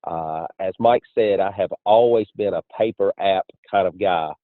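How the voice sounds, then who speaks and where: A man giving a measured, explanatory testimonial as an insurance agent on a conference call. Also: low voice. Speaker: insurance agent and training director. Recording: muffled phone line with room echo.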